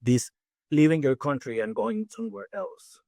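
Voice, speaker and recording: A man in his forties from Peru with a Peruvian Spanish accent, Spanish being his first language, chatting as an interview guest, talking fast with many restarts and fillers. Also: a little high, sing-song, creaky, mellow, no echo, studio quality. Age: 40s. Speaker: guest dentist and faculty. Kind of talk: casual interview chat.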